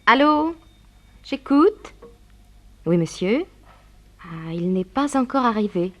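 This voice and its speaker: An actress playing an affectionate, efficient young secretary in a 1960s French radio play, polite and attentive. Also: female voice, a little high, very animated, slow, a bit bright, full character voice. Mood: polite, businesslike.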